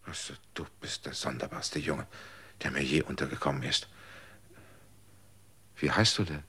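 A man's voice: very deep voice, normal speed, sharp tone, breathy edge, exaggerated voice. An actor playing an earnest man who asks probing questions and lectures in a radio drama.

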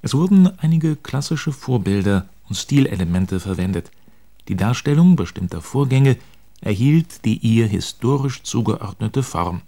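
A man reading scripted quotations for a radio feature in a formal, deliberate manner. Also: mid-range voice, lively intonation, mellow.

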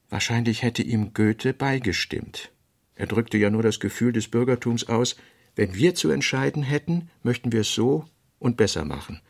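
A man narrating a radio play, reading fragmentary notes in a measured, clipped way. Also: on the low side, vocal fry. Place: radio studio.

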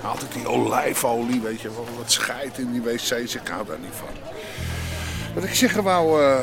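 An actor playing a streetwise, blunt crime boss in a radio drama. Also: male voice, medium pitch, lively intonation, moderate pace, vocal fry, music in the background. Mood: gruff friendly. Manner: blunt, streetwise, chummy-threatening. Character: tough old-style Amsterdam underworld boss, middle-aged man.

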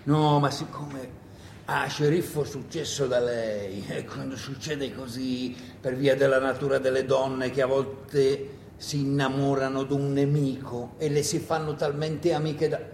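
A man's voice: level tone, measured pace, gravelly, darkish tone. Man playing an insistent, confiding villager, echoey. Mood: earnest, insistent. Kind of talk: solo theatre monologue. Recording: echoey room with noise and rumble.

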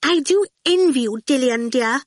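A gushing, prim lady of the storybook kind performed by a woman narrator. She flutters high with lively pitch and a sugary, reproving manner, in a dry close recording. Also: moderate pace, no room sound. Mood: bright, gushing. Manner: bright, fawning, reproving. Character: gushing, prim woman, brightly sweet, easily scandalised. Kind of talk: audiobook character voice.

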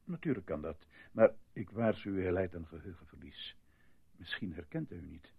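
An actor playing a solemn, formal hospital doctor with a husky, gravelly voice in a Dutch radio play. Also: male voice, low voice, animated, no echo, full character voice. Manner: measured, formal. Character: solemn, controlled hospital doctor, coolly reassuring. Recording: thin, phone-like, dry old radio recording.

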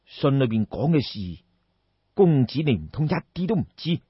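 A man's voice: medium pitch, big pitch swings, slightly rough, no echo, muffled recording, voice pushed down. Man voicing a grave, weary army general, Cantonese. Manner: sighing, deliberate, measured. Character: seasoned male army general, grave, weary, firm and loyal.

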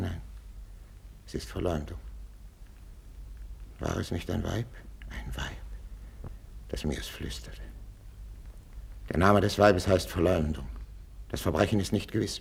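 Tormented aging king: low gravelly husky voice, imperious, suspicious, classical radio drama declamation. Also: a little mellow, heavily acted. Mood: brooding, suspicious. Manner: declamatory, swinging between whispers and outcries. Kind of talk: 1950s radio drama acting, classical stage German. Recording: old 1950s radio recording.

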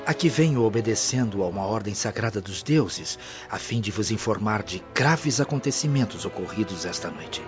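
A man's voice: fairly low pitch, expressive, moderate pace, hardly any pauses, lightly acted. An actor playing an obsequious, scheming old male slave of ancient Rome in a radio drama, formal and insinuating. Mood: deferential, grave. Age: older. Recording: dull lo-fi radio drama recording. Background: faint music.

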